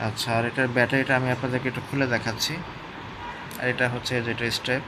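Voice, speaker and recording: A man's voice: mid-range voice, level tone, fast. A man gives a casual, hands-on product review, explaining step by step and often checking in with his listeners. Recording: clear background noise, urban ambience.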